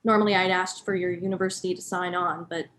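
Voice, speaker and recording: A woman playing a brisk, stern daycare boss in her late thirties in a dramatic script reading. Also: on the low side, little pitch movement, fairly bright tone, a little hiss or hum, lightly acted. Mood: stern, threatening.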